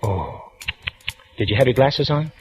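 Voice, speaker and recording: A man's voice: medium pitch, sing-song, measured pace, soft background music. An actor playing a wisecracking, flirtatious police lieutenant in a 1940s radio drama, folksy and chuckling as he talks. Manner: amused, chuckling, folksy and forward.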